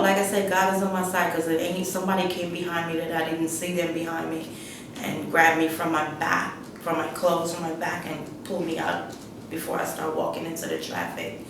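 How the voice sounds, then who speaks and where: A middle-aged woman from Guyana telling her life story in a halting, plain-spoken way, repeating phrases as she goes. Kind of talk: personal testimony, unscripted storytelling. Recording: echoey room, distant mic, rumbling noise.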